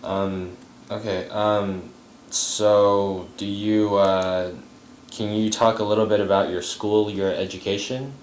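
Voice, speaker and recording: A man working as an interviewer, asking simple questions in a halting, hesitant way full of ums and uhs. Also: on the low side, flat delivery. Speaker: oral history interviewer. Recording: echoey room with faint noise.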